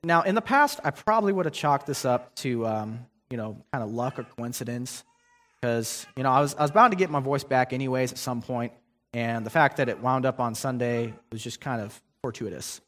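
A man's voice: medium pitch, normal speed, fairly bright tone. A young man giving a guest sermon in a conversational way, with many ums and restarts. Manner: conversational preaching with frequent fillers and restarts. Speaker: guest preacher. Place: church sanctuary. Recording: long hall reverb.

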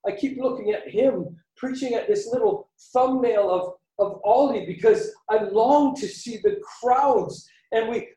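A man with a Canadian accent preaching a sermon, using dramatic pauses and emphatic repetition. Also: sits high, warm tone. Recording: echoey room, distant mic.

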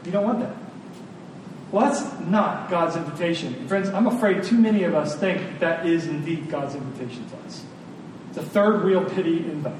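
A high-pitched man delivers an informal, earnest sermon. He repeats phrases for emphasis in a conversational way. The sound is heavily reverberant and picked up from a distance, with clear noise. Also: normal speed. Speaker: preacher. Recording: very echoey room, distant mic, clear noise.

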